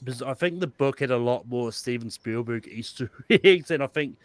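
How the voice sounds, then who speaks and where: A man chatting casually as a panelist, halting and often restarting his phrases. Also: medium pitch, dry recording. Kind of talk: casual movie chat.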